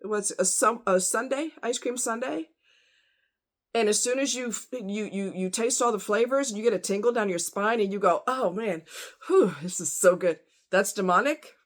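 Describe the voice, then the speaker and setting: A woman's voice: medium pitch, moderate pace. A woman talking casually in a rambling opinion vlog, conversational and informal, with slang and rhetorical questions. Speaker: vlogger.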